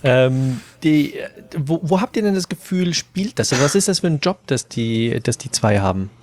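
A man's voice: on the high side, expressive, moderate pace, darkish tone, slight background noise. A man who writes audio dramas, chatting casually on a podcast panel. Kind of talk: casual group chat.

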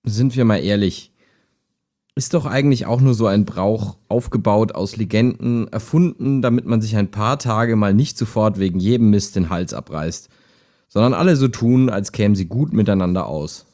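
Low, mellow man acting a wistful chatbot. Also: normal speed, close to an everyday voice. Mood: cynical, dismissive. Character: wistful, skeptical male chatbot talking like a human. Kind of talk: intimate German radio play dialogue.

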